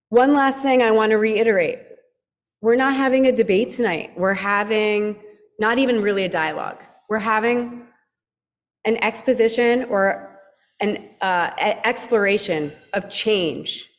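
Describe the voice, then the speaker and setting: A woman's voice: a little high, in a large hall. A woman moderating a panel event, explaining the program in a friendly way with some fillers. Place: event hall.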